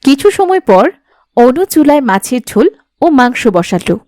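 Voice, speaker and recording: High, lively woman narrator. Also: normal speed, no echo, clean studio sound. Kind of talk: scripted story narration for a cartoon.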